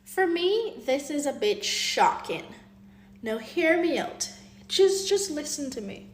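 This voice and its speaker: A Guyanese woman telling a story in an animated, exasperated way, exclaiming as she goes. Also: sits high, slow, echoey room. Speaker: health care worker.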